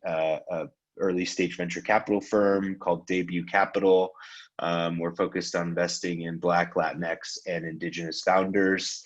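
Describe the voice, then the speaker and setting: A man from Iran giving a casual presentation on a video call, relaxed and loose, with frequent ums and restarts. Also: low-pitched, muffled recording. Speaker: guest speaker, venture capitalist.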